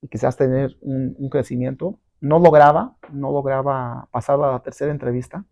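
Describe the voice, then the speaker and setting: Gravelly middle-aged man, non-native Spanish. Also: mid-range voice, steady tone, normal speed, a little mellow, dry recording. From abroad, not Mexico. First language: not Spanish. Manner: rambling, many fillers and tag questions. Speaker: guest entrepreneur. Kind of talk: casual interview storytelling.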